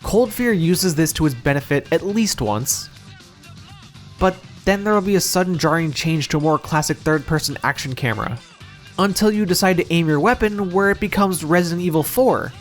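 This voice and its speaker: Higher-pitched man narrating a game review. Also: expressive, moderate pace. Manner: opinionated, wry, casually profane. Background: music.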